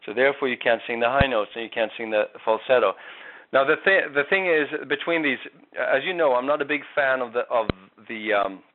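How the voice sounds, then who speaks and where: A man's voice: medium pitch, brisk. A man giving a one-on-one singing lesson, explaining step by step in a patient way. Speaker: voice coach. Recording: tinny call line, faint hiss.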